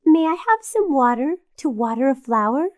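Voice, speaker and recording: A woman voicing a cheerful, kindly little girl in a children's cartoon, speaking simply and clearly to young viewers. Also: high-pitched, animated, moderate pace. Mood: friendly, instructive.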